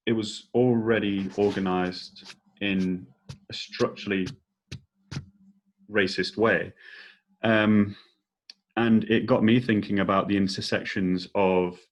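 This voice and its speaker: A man moderates a discussion in a fairly low voice with very little rise and fall. His phrasing is unscripted, measured and reflective.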